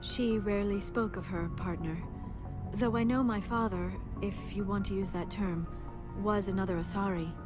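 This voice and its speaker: A woman voices a gentle female alien scholar from a video game. She is earnest and reflective, choosing formal words with measured, precise diction at a medium pitch. Music plays clearly behind her. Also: fairly even intonation, lightly acted. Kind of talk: video game dialogue acting.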